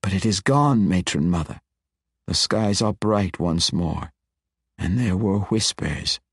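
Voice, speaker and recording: A man voicing a despondent male dark elf wizard who speaks slowly and mournfully. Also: low voice, breathy edge, no room sound, studio quality, full character voice. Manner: slow, mournful, hushed.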